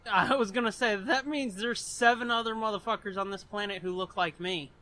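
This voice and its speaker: High, bright-voiced male podcast co-host. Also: a little fast. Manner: crude, heavy swearing, sardonic joking. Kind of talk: casual comedic banter.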